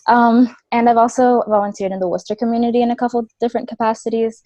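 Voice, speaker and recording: A woman's voice: a little high, little pitch movement, fast talker, dark tone, dry recording. A woman in her twenties from Ecuador, raised in New Jersey, introducing herself chattily as a student panelist on a video call. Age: 20s. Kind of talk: casual self-introduction.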